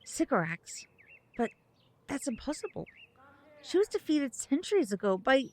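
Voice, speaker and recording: An actress playing a composed, knowledgeable mother with a measured manner in an audio drama. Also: sits high, big pitch swings, darkish tone. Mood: wary.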